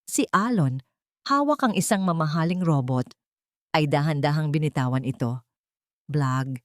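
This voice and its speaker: A woman narrating a scripted audiobook story in a deliberate, dramatic way. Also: sits low, lively intonation, dry recording, clean studio sound.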